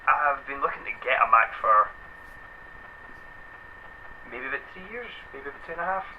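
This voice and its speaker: A man's voice: mid-range voice, expressive, moderate pace. A young Scottish man chatting as a guest, rambling with lots of fillers and self-mocking asides. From Scotland. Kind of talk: casual chat. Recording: slightly dull, faint noise.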